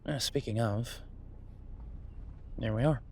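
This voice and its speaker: A man acts out an easygoing chef in an audio roleplay, speaking at a middling pitch. He is earnest and reassuring, chatting in halting, conversational phrases as if to a close partner. Noticeable ambient noise runs behind him. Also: a little mellow. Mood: casual, enthusiastic. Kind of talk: roleplay audio drama, conversational monologue to listener. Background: ambient sound effects.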